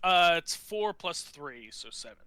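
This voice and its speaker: A man's voice: high voice, big pitch swings, unhurried, bright, forceful tone, clean tone, dry recording. A man playing a tabletop role-playing game, chatting casually in a joking, foul-mouthed way.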